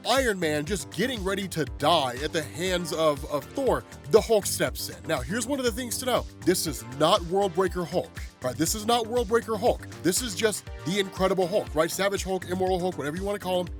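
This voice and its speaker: An enthusiastic man with a congested, nasal voice from a cold, excitedly explaining comics as host. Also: high-pitched, brisk, hardly any pauses, soft background music. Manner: enthusiastic, lots of "man" and "right?". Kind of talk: excited casual commentary.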